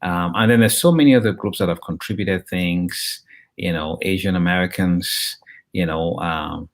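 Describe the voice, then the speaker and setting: A man in his fifties from Nigeria, a journalist and professor giving an interview, talking in a measured way with frequent ums and restarts. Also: sits low. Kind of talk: conversational interview.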